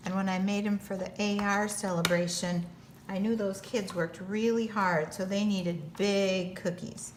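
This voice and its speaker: A woman's voice: mid-range voice, fairly even intonation, a little slow. An American woman giving patient, step-by-step cooking instruction in simple, plain terms. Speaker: teacher giving a cooking demonstration. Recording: noticeable background noise.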